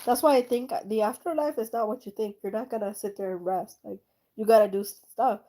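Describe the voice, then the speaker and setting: Mellow-voiced woman chatting while painting. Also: medium pitch, brisk. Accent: slight German accent. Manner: rambling, many fillers and restarts, chuckles. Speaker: art channel host. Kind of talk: casual rambling chat while painting.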